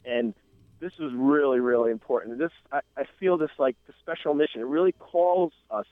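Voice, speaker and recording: Man, call-in guest, earnest, halting with fillers, tinny phone sound. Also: mid-range voice, dry recording. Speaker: call-in guest, event organizer. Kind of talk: casual radio chat by phone. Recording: dry tinny phone line.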